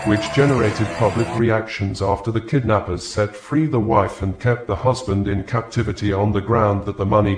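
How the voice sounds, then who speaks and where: A man's voice: on the low side, reverberant, rough recording. A man reading scripted news in a formal manner, in long run-on sentences. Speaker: news reader.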